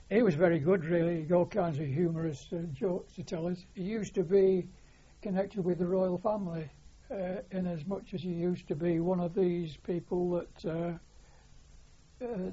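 Older male veteran, Lancashire accent; mellow, breathy tone; hesitant oral-history telling; muffled lo-fi tape. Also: high-pitched. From Lancashire, England. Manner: hesitant, many filler pauses. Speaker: war veteran interviewee. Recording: dull lo-fi recording.

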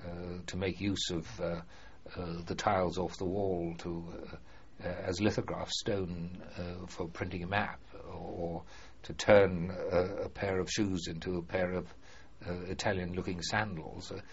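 Older guy, really low voice, lots of uhs, formal way of talking, dull old tape with a bit of hiss. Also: little pitch movement, a little slow, vocal fry. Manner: hesitant, many uh fillers, formal "one" phrasing. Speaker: former prisoner of war, interviewee. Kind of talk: oral history interview. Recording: muffled archive recording with faint hiss.

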